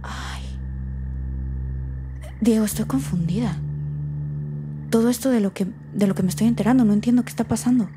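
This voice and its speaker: A woman's voice: mid-range voice, sing-song, moderate pace, pauses often, background noise, close to an everyday voice. A woman playing an anxious, defensive daughter in a naturalistic audio drama, with a Mexican accent and a colloquial, hesitant, emotional delivery. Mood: confused, defensive. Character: anxious, defensive daughter unravelling family secrets.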